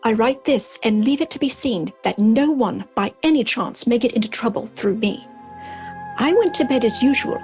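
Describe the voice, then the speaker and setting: High-voiced, fragile young gentlewoman. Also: vocal fry, muffled recording. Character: frightened, fragile young gentlewoman, lovelorn victim. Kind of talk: gothic horror audio drama.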